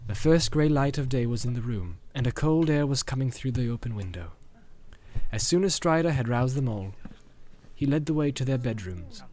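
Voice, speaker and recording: A man's voice: medium pitch, lively intonation, normal speed, a little mellow. A man narrating an audiobook in a dramatic storytelling style.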